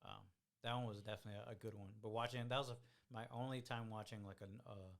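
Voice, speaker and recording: Young male co-host, low raspy gravelly voice, loose hesitant chat. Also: fairly even intonation, a bit bright. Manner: halting, many fillers and restarts. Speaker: podcast co-host. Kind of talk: casual chat.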